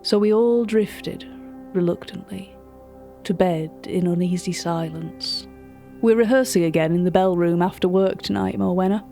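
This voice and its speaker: An actress playing a chatty, wry British gallery writer, telling a story directly to a listener in audio drama narration. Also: female voice, lowish voice, moderate pace, gravelly, dark tone, soft background music.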